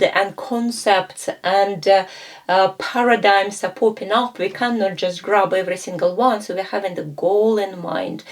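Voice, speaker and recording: Woman teaching coaching skills, measured. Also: mid-range voice, darkish tone. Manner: explanatory, with frequent fillers and self-corrections. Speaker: coaching instructor. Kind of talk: lecture with role-play examples.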